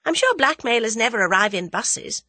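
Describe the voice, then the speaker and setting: A woman voicing a lively, impulsive young woman adventurer with an excitable, teasing manner in a storybook reading. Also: on the high side, moderate pace, dry recording, pitched above the performer's own voice, heavily acted. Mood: teasing, thoughtful.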